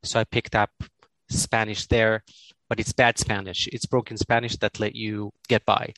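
A middle-aged man with an Israeli accent, Hebrew his first language, answering interview questions in a halting, thoughtful way with frequent laughs over a video call. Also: lowish voice, little pitch movement, fairly bright tone, no room sound, poor audio. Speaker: guest.

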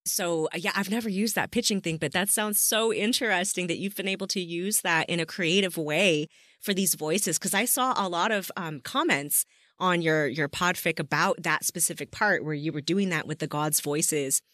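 A woman with a slightly low voice hosts a casual interview show. She is effusive and chatty as she talks with her guest. The sound is very dry, with no room echo. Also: normal speed, a bit bright. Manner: enthusiastic, effusive. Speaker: podcast host interviewing a guest.

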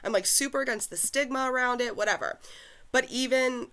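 A woman's voice: high-pitched, brisk, a bit bright. A woman co-hosting a casual podcast from her home, chatty and self-deprecating, laughing often. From Pennsylvania. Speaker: podcast co-host.

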